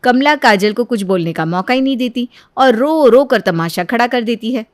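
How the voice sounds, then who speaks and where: A woman's voice: a little high, animated, normal speed, no room sound, clean studio sound. A woman narrating a scripted moral story as a storyteller.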